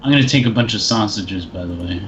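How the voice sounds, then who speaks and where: A man's voice: fairly low pitch, fast, over music. A man chatting casually and loosely as a player in a tabletop roleplaying game, over a lo-fi microphone. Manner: sweary. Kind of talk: casual group roleplaying chat. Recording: dull lo-fi mic, chatter behind.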